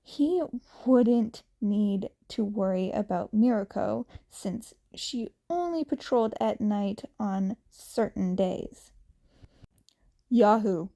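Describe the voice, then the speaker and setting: A woman's voice: medium pitch, takes it slowly, gravelly, mellow. A woman reading fan fiction aloud as an amateur audiobook-style narrator. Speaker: podfic reader.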